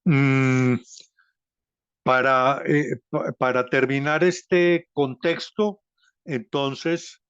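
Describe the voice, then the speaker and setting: A Colombian-accented man walks through his research at a slow, careful pace, pausing often to hesitate and correct himself. His voice is clear and smooth, and it comes through a slightly dull, dry online call. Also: mid-range voice, steady tone, fairly bright tone, dry recording. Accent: Colombian Spanish. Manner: slow and deliberate, frequent hesitations and self-corrections. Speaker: researcher presenting at a seminar. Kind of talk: academic presentation.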